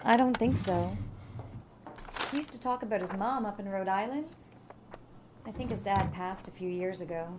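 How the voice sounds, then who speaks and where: A woman's voice: medium pitch, moderate pace, pauses often, noisy background, close to an everyday voice. A woman playing a casual, helpful office receptionist in a naturalistic audio drama, talking in an offhand, matter-of-fact way.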